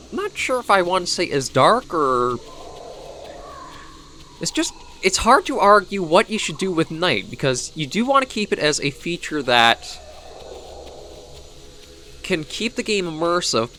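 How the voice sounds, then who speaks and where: A man's voice: fairly high pitch, sharp tone, slight background noise. A man with a Canadian accent who is coming down with a cold, giving casual, rambling gameplay commentary as he thinks aloud. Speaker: gaming video host.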